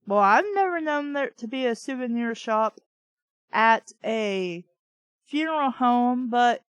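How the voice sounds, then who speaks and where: A woman's voice: on the high side, animated, slow pace, clean tone, no room sound. A woman hosting a bad fiction show, reading a story aloud and breaking into blunt, sweary, exasperated commentary.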